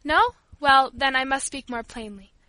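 A woman's voice: sits high, normal speed, sharp tone, no echo. A woman voicing a cold, strict father in an audiobook, speaking in a curt, formal, authoritarian way. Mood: stern, measured. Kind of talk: audiobook character voice.

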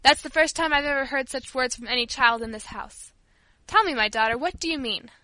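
Woman playing strict cold father, storybook character, curt, formal, high forceful voice, dry sound. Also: fairly quick, dry recording. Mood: cold, severe. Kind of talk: audiobook character voice.

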